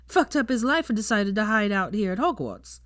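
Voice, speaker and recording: A woman's voice: medium pitch, sing-song, a little fast, dry recording, clean studio sound, pitched above the performer's own voice, lightly acted. A woman voicing a blunt, cheerful young witch teacher in a story reading, breezy and prone to casual swearing.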